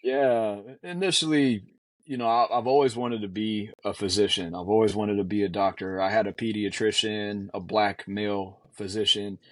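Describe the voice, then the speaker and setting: A man in his forties from Houston, a physician guest telling a story in an earnest, measured way with frequent fillers. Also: fairly low pitch, fairly even intonation, no room sound. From Houston, Texas. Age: 40s. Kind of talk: reflective conversational storytelling.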